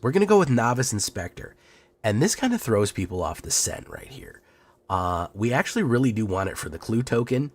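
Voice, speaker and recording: A young man hosting a card game channel, talking through his deck in a playful showman patter while sick, his voice rough. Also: deep voice. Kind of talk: casual deck walkthrough.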